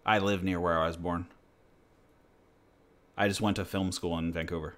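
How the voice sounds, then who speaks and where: A man from New Hampshire hosts a relaxed chat on his channel. His voice sits a bit low, and he rambles from one story to the next at an easy, unhurried pace. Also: slight background noise. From New Hampshire, USA. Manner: rambling, laid-back storytelling. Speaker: channel host. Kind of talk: casual livestream chat and Q&A.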